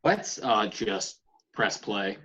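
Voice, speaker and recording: A man's voice: on the low side, expressive, slow pace, a bit bright. A man playing a laid-back, peacekeeping young roommate in a comedy table read, on dull, lo-fi audio. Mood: placating. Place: at home, remote table read.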